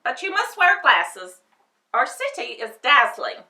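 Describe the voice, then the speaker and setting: A woman's voice: very high voice, sing-song, slow, creaky, pushed and bright, far from the mic, voice pushed up, exaggerated voice. Woman doing a barking city gatekeeper. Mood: brusque. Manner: barking orders. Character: gruff male gatekeeper in uniform. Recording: echoey room, voice off-mic.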